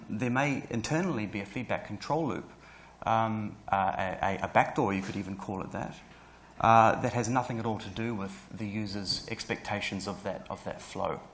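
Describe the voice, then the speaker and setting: A man with a New Zealand accent gives a talk in a lecture hall over a lapel mic. His voice sits fairly low and he rushes on, full of ums and restarts, with a faint hiss of room noise behind him. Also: fairly bright tone. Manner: fast and informal with many fillers and restarts. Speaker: guest speaker. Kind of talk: conference talk.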